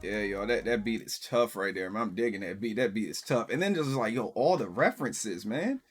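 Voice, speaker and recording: A man hosting a music reaction, talking casually in loose, rambling sentences and telling stories that pick up in excited bursts. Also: fairly low pitch, a little fast. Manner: rambling, loose, with frequent filler phrases.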